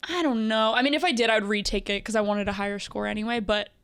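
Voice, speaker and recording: A woman's voice: medium pitch, fairly bright tone, clear, resonant tone. A woman in her twenties, chatting casually as a podcast guest, filling her talk with "like". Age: 20s. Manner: quick, casual, lots of "like". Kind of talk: casual chat.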